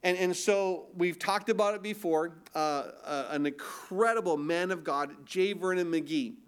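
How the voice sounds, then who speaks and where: Man, pastor, nasal, high voice, sermon, rhetorical questions. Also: unhurried, clean tone. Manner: conversational preaching with rhetorical questions.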